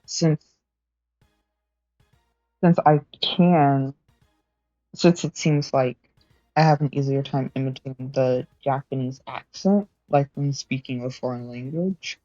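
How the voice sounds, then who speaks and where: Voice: mid-range voice, takes it slowly, pauses often, warm tone, no room sound, highs cut off, soft background music. Rambling young streamer chatting while studying. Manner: rambling, self-correcting, laughs often.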